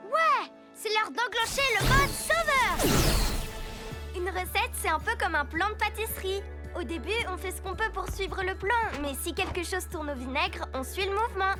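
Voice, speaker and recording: Upbeat cartoon girl hero: exclamatory, earnest, extremely high register over background music. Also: animated, normal speed. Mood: encouraging. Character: upbeat, plucky child superhero girl. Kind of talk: children's cartoon dubbing. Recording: music behind the voice.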